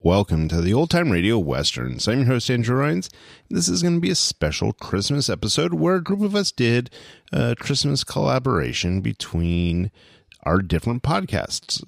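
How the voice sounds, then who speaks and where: Lively, creaky-voiced male podcast host. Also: on the low side, normal speed, no echo, clean studio sound. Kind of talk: podcast introduction.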